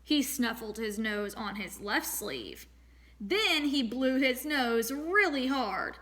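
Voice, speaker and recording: A woman reading a storybook aloud as a teacher, expressive and pausing to address young listeners. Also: on the high side. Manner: expressive, acts out sound words.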